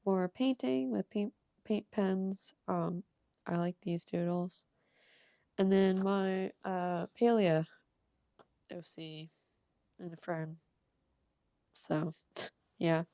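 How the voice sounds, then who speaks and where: A young woman with a cold and a slightly hoarse voice, rambling casually with ums and soft laughs as she shows her art. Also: on the low side, very slow, long pauses, dry recording, clean studio sound. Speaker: art channel creator. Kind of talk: casual sketchbook tour voice-over.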